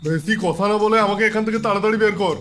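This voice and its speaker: Man voicing a blustering high-pitched cartoon villain boss. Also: rapid-fire, a bit bright, faint music behind. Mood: angry, threatening. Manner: threatening, pompous scolding. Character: blustering bossy villain gang leader in a comedy cartoon. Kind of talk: Bengali comedy cartoon dubbing.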